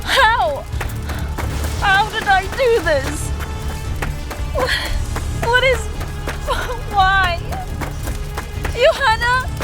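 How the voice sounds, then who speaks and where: A woman's voice: very high voice, expressive, extremely slow, long pauses, full character voice. An actress playing a young woman mage who speaks in an anxious, pleading way in an audio drama. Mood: panicked. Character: young woman mage, frightened and guilt-stricken. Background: music.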